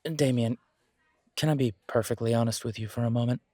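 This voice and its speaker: A man playing a wary, sardonic werewolf mechanic in an audio drama, with a husky voice and a dry, measured manner. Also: medium pitch, steady tone, mellow, dry recording, close to an everyday voice. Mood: incredulous. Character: wary, sardonic male werewolf mechanic, blunt but polite. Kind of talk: audio roleplay drama dialogue.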